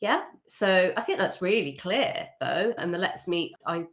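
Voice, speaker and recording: Female host, lower-pitched voice, upbeat and chatty. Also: lively intonation, unhurried. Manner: upbeat, chatty, with frequent laughs. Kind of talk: casual interview, giving brand feedback.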